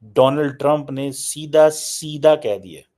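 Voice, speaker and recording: A man's voice: a little high, takes it slowly, warm tone. A man giving informal political commentary, blunt and repetitive, slipping into English phrases.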